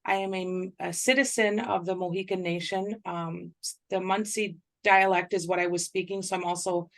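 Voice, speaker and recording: A woman with a low, gravelly voice speaks at a conference keynote. She pauses often on soft fillers, talking in a gentle, unhurried way. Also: steady tone. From North America. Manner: soft, hesitant with many fillers. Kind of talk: keynote talk.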